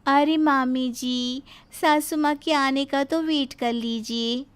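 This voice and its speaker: A woman's voice: sits high, fairly even intonation, slow pace. A woman playing a cheeky, lazy young daughter-in-law in a moral story, talking with exaggerated mock politeness. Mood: feigned innocence. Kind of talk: scripted Hindi moral story acting.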